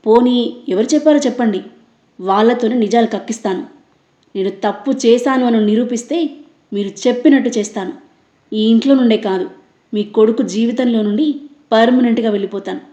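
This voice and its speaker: A woman's voice: medium pitch, steady tone, moderate pace, pauses often, mellow, reverberant, close to an everyday voice. A woman voicing a proud, hurt young daughter-in-law who firmly challenges and demands proof. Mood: defiant.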